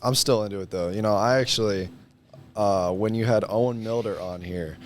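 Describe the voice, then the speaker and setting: A man's voice: fairly low pitch, moderate pace, a little hiss or hum. A young man in his late teens chatting casually as a guest, modest and understated. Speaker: guest, high school senior. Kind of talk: casual chat.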